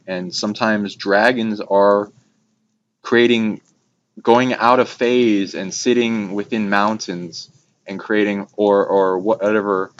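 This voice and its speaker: Male, very low and flat, halting casual rambler on esoteric subjects; dull recording with noise behind. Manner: halting, trailing phrases with abstract jargon. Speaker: speaker on esoteric topics. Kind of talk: casual rambling spiritual discussion.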